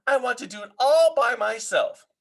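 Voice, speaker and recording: A man voicing an eager, excitable young boy frog from a storybook, exclaiming in short bursts. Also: extremely high pitch, normal speed, creaky, voice pushed up, heavily acted. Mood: eager, excited.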